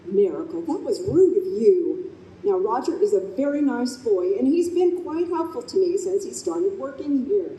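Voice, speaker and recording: An actress playing a warm, exasperated mother in her fifties in an old-time radio style stage play. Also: fairly high pitch, very animated, moderate pace. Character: warm, exasperated fifty-two-year-old smoker mother and office manager. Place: convention room stage performance. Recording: roomy live hall, faint background noise.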